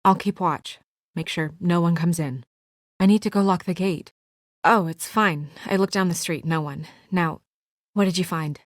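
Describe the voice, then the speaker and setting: A woman's voice: on the low side, normal speed, no echo, studio quality, lightly acted. A woman playing an earnest, businesslike young woman with office authority and a dryly exasperated edge, in naturalistic video game acting. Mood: wary, focused.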